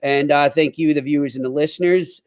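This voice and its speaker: A man's voice: fairly high pitch, fairly even intonation, fairly quick, clean tone. A man hosting a casual sports chat and putting questions to his co-host.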